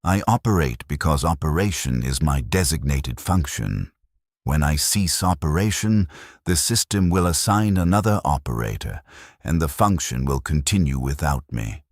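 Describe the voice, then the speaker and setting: A man narrating in character as a scarred, war-numbed veteran heavy gunner in a sci-fi story, grim, detached and resigned, with a gravelly voice. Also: very deep voice, expressive, moderate pace, dry recording, clean studio sound, exaggerated voice. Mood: cold, fatalistic. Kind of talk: scripted first-person dramatic narration.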